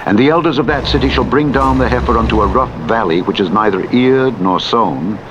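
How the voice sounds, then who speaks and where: A man narrating the Bible in a solemn, formal and measured way, with deliberate phrasing. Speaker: audiobook narrator reading scripture. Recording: muffled radio-like audio under loud truck noise. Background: loud vehicle rumble from a truck driving game.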